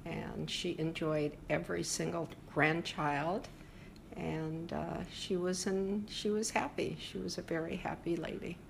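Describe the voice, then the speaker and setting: An older woman talks about her family's past in a slow, unhurried interview. Her voice sits fairly low and has a gravelly fry. She pauses often and fills the gaps with small hesitations. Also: steady tone, slight background noise, poor audio. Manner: reflective, hesitant with frequent fillers. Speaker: interviewee sharing family history. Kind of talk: oral history interview.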